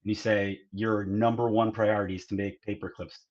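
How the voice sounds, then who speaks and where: A man with a lowish voice talks through points casually and analytically as a podcast co-host. The sound is a little muffled and lo-fi. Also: level tone, a little fast, darkish tone. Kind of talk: casual discussion. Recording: slightly dull, lo-fi audio.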